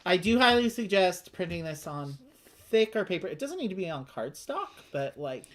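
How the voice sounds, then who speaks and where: A woman's voice: lowish voice, big pitch swings, normal speed. A woman with a slight lisp, chatting casually as she explains and plays a tabletop game. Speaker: host teaching a board game playthrough. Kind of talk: casual chat while playing a roll-and-write game.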